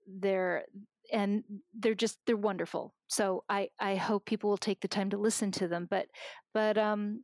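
A woman speaking as a guest in a casual conversation. She talks with enthusiasm and some restarts. The sound is dry and close, with no room echo. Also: medium pitch. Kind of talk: casual chat. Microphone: remote recording outside the studio.

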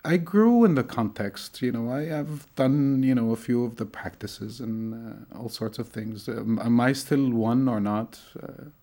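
A middle-aged man from Tunisia, a lecturer, talking thoughtfully in an interview, with many pauses and fillers. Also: medium pitch, dark tone. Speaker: lecturer in Arabic studies. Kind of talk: conversational interview.

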